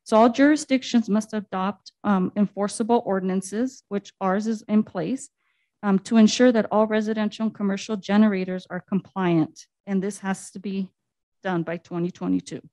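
A woman's voice: mid-range voice, fairly even intonation, moderate pace, warm tone, dry recording. Woman reading slides at a council meeting. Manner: reads slide text aloud with frequent fillers. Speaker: city staff presenter.

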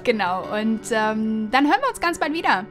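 A woman's voice: a little high, sing-song, moderate pace, fairly bright tone, soft background music. A woman hosting a podcast in a casual, chatty and gushing manner. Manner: effusive, gushing. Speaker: podcast host.

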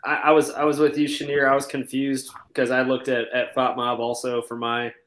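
A man's voice: mid-range voice, monotone, normal speed, a bit bright. Casual man in an echoey room. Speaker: podcast panelist. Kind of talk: casual sports chat. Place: indoor room at home. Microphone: video call. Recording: echoey room on video call.

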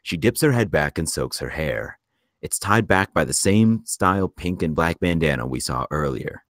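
Male narrator for script directions, extremely low voice, close dry recording. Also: expressive, moderate pace, no room sound. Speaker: screenplay narrator at a table read.

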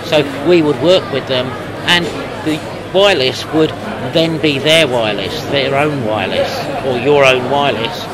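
A man in his fifties being interviewed at a trade show, explaining things in a measured, businesslike way. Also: medium pitch. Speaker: company representative being interviewed. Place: busy exhibition hall booth. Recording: loud trade-show crowd noise. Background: loud crowd hubbub.

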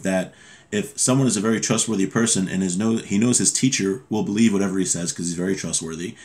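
Male teacher, 30s, slightly low voice. Informal text-study lesson, explanatory and a bit halting, recorded at a desk at home. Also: darkish tone. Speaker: religious teacher. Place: small home room with bookshelf, indoors.

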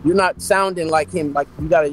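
Animated middle-aged singer from LA. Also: male voice, fairly high pitch, brisk, a little hiss or hum. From Los Angeles. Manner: animated storyteller, acts out quotes. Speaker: R&B singer, guest. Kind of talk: casual storytelling interview. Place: small room indoors. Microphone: webcam on a video call.

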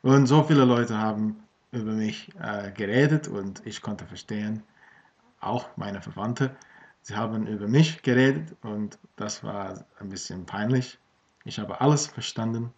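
A man's voice: fairly low pitch, unhurried, a little mellow. A man in his thirties from Australia, a native English speaker, talking casually in a learned language with many fillers and restarts, filmed by a webcam at home. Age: 30s. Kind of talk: casual vlog in German. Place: small room at home with bookshelves. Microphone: webcam at desk. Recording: dull lo-fi webcam audio.

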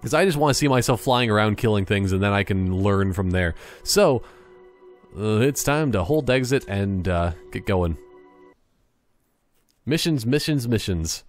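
A man with a fairly low voice talks through a video game in a casual, joking way. He thinks out loud and drifts into tangents, with music in the background. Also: expressive, a little slow. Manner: rambling, thinking aloud in tangents. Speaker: gaming YouTuber. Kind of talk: casual, jokey gameplay commentary.

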